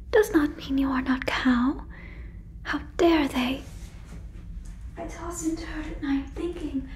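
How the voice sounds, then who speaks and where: A woman voicing an earnest, protective alien in an ASMR roleplay, whispering breathily close to a microphone with halting, stilted phrasing. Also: sits high. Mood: pitying, protective. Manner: halting, stilted phrasing like a learner of human words. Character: earnest female alien, protective, gently convinced listener is a cow. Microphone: fluffy microphone, very close.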